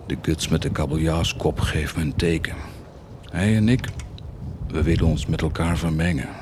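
An actor playing a cruel, volatile army commander in a theatrical radio drama, sardonic and commanding in manner. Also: male voice, a bass voice, moderate pace, noisy background. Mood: mocking, sardonic.

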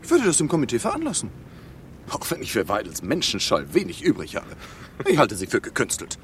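An actor playing a fussy, nervy refugee in a radio drama, agitated and halting, with a self-important manner. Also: male voice, mid-range voice, wildly swooping pitch, a little hiss or hum. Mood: self-important disdain. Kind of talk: German radio drama dialogue.

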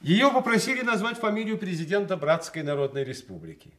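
An animated, authoritative Soviet party boss. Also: male voice, high-pitched. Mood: ironic, insistent. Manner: official, deliberate phrasing with dry irony. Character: brisk male Soviet party secretary, authoritative, ironic. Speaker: actor. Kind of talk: Soviet radio play acting.

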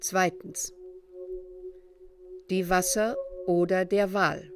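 An actress narrating a literary radio play as a composed storyteller, with measured, scripted delivery. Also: female voice, fairly low pitch, level tone. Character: female storyteller of the prophet's flight, composed. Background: faint music.

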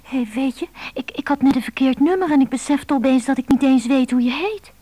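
High-pitched, pleading lovelorn woman. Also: brisk, dark tone, no room sound. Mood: agitated. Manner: insistent, pleading. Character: lovelorn, needy, pleading woman telephoning a stranger. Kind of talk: radio drama acting.

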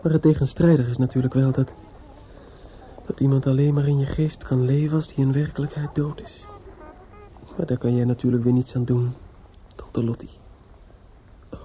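An actor playing a grief-stricken, distraught young man in a Dutch radio drama, speaking haltingly with stammers and repetitions. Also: medium pitch, fairly even intonation, mellow, close to an everyday voice. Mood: grieving, bewildered. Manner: halting, stammering, repeating himself. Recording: dull, slightly noisy old radio recording.

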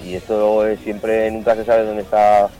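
Chatty, low-voiced Spanish singer on radio. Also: male voice, monotone, normal speed, mellow, faint music behind, rough recording. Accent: Andalusian-tinged singing drawl with Aragonese speech. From Zaragoza, Spain. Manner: chatty, rambling, colloquial. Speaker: singer guest. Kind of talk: casual radio interview.

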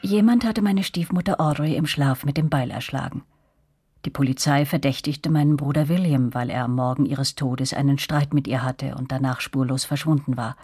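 A woman playing a young narrator in a radio play, recalling events in a measured, reflective way. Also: a bass voice, warm tone, slightly rough, no room sound, clean studio sound.

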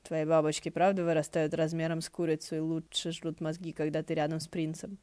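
A woman's voice: deep voice, level tone, fairly quick, warm tone, smooth, close to an everyday voice. A woman voicing a prickly, awkward male ghost in an audiobook, snide and muttering. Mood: grumbling.

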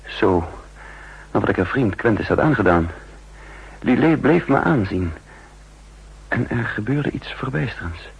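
An actor playing an intense, guilt-ridden double agent who narrates his own downfall in a 1960s Dutch radio drama, with a husky voice and deliberate dramatic delivery. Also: on the low side, highs cut off, exaggerated voice. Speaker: lead actor and first-person narrator.

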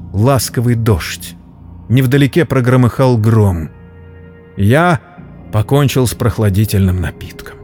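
Man, mid-pitched voice, dramatic audio story narration, solemn and measured, faint music behind. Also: a little mellow. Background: background music.

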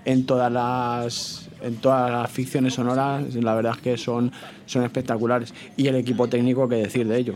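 A man with a Spanish accent from Spain hosting a casual live radio discussion, halting and repeating words as he searches. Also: mid-range voice, flat delivery, darkish tone. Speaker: radio show host.

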